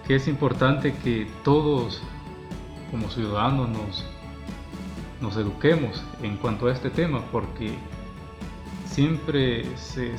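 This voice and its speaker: A man giving a halting reflective talk on culture, with fillers and pauses. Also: mid-range voice. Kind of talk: reflective spoken course presentation.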